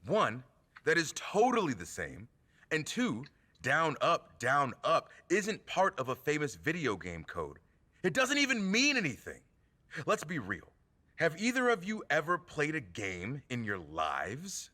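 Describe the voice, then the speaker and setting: A man's voice: on the high side, wildly swooping pitch, measured pace, bright, forceful tone, heavily acted. A man playing a brash, mocking wrestler and gamer in a video game, with emphatic, taunting, theatrical delivery. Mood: challenging. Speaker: rival wrestler who hosts a gaming show. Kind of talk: scripted video game cutscene acting.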